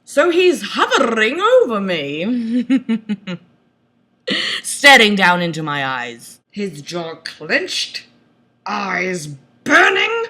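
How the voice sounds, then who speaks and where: A woman's voice: mid-range voice, huge pitch swings, extremely slow, pushed and bright. A woman narrating a novel as a comedic mock-dramatic reading, with theatrical, exaggerated emphasis.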